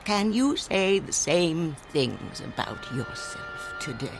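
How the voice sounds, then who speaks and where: A woman's voice: deep voice, big pitch swings, takes it slowly, vocal fry, music in the background, full character voice. An actress playing a cryptic, wise old female fortune teller in a radio drama, speaking with solemn deliberation. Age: older.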